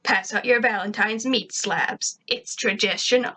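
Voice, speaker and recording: A grim schoolteacher in a cartoon-style story, read by a woman. She speaks curtly and with weary authority, at a middling pitch, as if every announcement were a burden. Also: unhurried, pushed and bright, poor audio. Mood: grudging. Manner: curt, dour, authoritative. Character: grim, bitter female schoolteacher, curt and weary.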